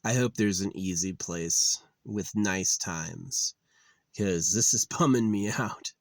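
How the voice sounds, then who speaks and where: A man's voice: low voice, takes it slowly, no echo. A man in his late thirties musing in a rambling, weary monologue, with lots of fillers and restarts. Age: 30s. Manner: weary, resigned. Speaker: channel host.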